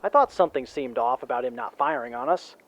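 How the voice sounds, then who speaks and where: A man playing an eager, excitable young tinkerer in a post-apocalyptic audio drama, earnest and polite. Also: medium pitch, quick pace, background noise, close to an everyday voice.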